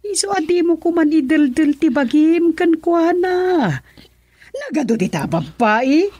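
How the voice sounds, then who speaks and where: A woman's voice: very high-pitched, animated, unhurried, dark tone, no room sound. A woman acting in a radio drama as a fretful, protective mother who scolds her grown son, with an Ilocano accent. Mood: exasperated, pleading.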